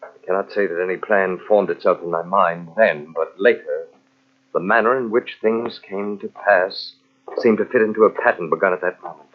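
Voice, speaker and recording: An actor playing a vengeful, jealous army captain in old-time radio mystery style, with a brooding, menacing, melodramatic delivery. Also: male voice, low voice, fairly even intonation, normal speed. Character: vengeful, coldly jealous army captain narrating his tale. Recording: muffled old radio show from cassette.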